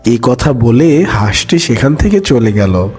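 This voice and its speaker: A man's voice: mid-range voice, big pitch swings, unhurried, a little mellow, faint music behind. A man narrating a scripted children's fairy tale.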